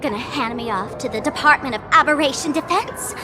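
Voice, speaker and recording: High-voiced, lilting young female trickster. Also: expressive, fairly quick, music in the background. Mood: playful, smug. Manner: teasing, theatrical, speaks of herself in third person. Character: mischievous young female masked trickster streamer, smug and theatrical. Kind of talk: video game character acting.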